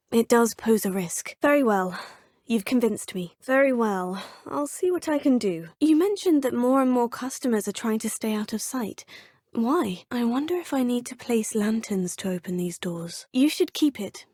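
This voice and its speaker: A young woman voicing a polite, composed female student witch in a video game, speaking in a measured, formal way. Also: on the high side, lively intonation, darkish tone, no room sound, studio quality. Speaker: player character.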